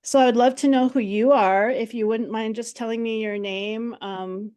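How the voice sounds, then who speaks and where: A woman's voice: a little high, fairly even intonation, moderate pace, darkish tone, clear, resonant tone. A woman in her forties from Minnesota, a French teacher giving an online workshop presentation in a friendly, chatty way with many ums. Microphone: video call.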